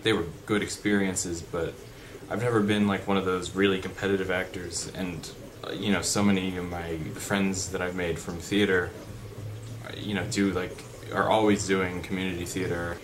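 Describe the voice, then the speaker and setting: A young man with a deep voice chatting unscripted in a vlog, rambling with fillers and restarts. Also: level tone, moderate pace. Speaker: vlogger. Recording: background noise.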